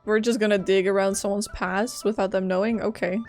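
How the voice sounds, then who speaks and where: A woman's voice: mid-range voice, fairly even intonation, a little fast, faint music behind. A woman streaming a game, giving casual, offhand reactions as she plays along. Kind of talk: casual playthrough commentary.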